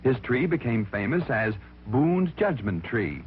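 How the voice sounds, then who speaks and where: Animated male storyteller on a thin vintage recording. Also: medium pitch, measured pace, a little hiss or hum. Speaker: narrator. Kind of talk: dramatic scripted storytelling for children. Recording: thin, phone-like vintage recording with music behind. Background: music.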